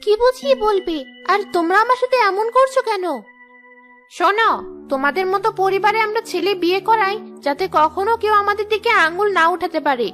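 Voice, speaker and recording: A woman's voice: extremely high pitch, lively intonation, fairly quick, fairly bright tone, soft background music. A woman voicing a haughty, bossy mother-in-law in a cartoon. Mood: scornful, bossy. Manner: bossy, contemptuous. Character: haughty, scolding mother-in-law of a landlord household. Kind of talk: cartoon dialogue dub.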